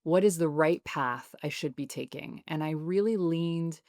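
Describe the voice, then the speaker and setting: A woman in her thirties, a guest author speaking in a calm, soothing, measured way in a reflective interview. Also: low voice, dry recording, clean studio sound.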